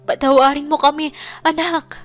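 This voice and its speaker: A high-voiced, sorrowful older mother from an audio storybook, acted out by a female narrator. She pleads and apologizes with a tearful, confessing manner, over background music. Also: level tone, normal speed, fairly bright tone.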